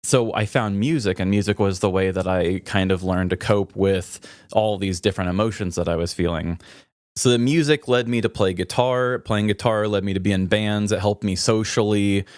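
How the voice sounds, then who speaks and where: A man with a slightly low-pitched voice talks as a podcast co-host. He is candid and introspective, spinning out long reflective thoughts in casual language. The sound is close and dry. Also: normal speed, darkish tone, dry recording.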